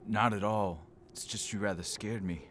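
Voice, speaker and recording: An actor playing a troubled, polite old railway signalman in an audio drama, speaking gravely and deliberately. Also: on the low side, fairly even intonation, a bit bright, slight background noise, close to an everyday voice. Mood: uneasy. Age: older. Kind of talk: audio drama acting, telling a ghostly tale.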